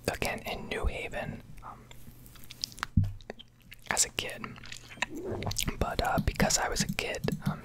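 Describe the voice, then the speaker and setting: A woman in her early twenties from Connecticut with an American accent, rambling casually in a whispered ASMR style. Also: low-quality recording. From Connecticut, United States. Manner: casual, rambling, occasional swearing. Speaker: ASMR gaming video creator.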